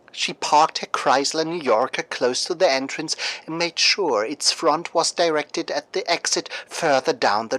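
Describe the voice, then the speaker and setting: A radio show host and narrator reading a scripted story in a theatrical, deliberate way, like a dramatic newscast. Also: medium pitch, fairly bright tone, poor audio.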